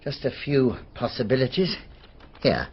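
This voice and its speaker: An actor playing a dry, cautious, helpful older man in a radio mystery, measured and understated. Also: mid-range voice, very animated, a little hiss or hum, close to an everyday voice. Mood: matter-of-fact. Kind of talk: radio mystery drama acting. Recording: muffled radio drama recording.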